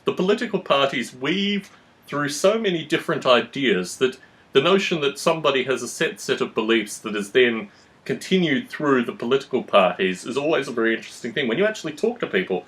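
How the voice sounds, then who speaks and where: A man's voice: medium pitch, a bit bright, low-quality recording. A man hosting a conversational discussion, explaining his views in a long-winded, analytical way. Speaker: podcast host.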